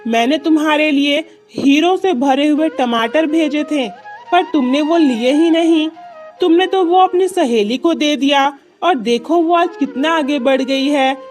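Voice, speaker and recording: A high-voiced, kindly magical tree from a children's cartoon, played by a woman. It talks like an earnest, reassuring friend, explaining things patiently, with music playing behind. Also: unhurried. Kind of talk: children's cartoon story dialogue.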